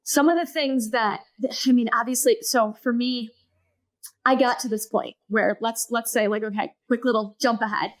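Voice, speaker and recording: High-voiced earnest woman telling her story. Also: moderate pace. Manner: earnest, animated, acts out her own past thoughts. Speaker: podcast guest. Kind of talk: personal storytelling in conversation.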